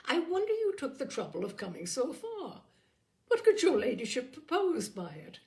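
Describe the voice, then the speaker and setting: A woman voicing a composed, defiant young gentlewoman in an audiobook of a classic novel, cool and pointed in her measured replies. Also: sits high, huge pitch swings, voice pushed up, full character voice. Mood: astonished, disdainful. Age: young adult.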